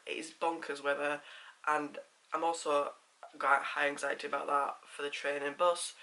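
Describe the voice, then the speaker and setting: Chatty Yorkshire man with bright tone. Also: fairly high pitch. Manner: rambling, chatty, many fillers. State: slightly congested, sore throat. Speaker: vlogger. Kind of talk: casual chat to camera.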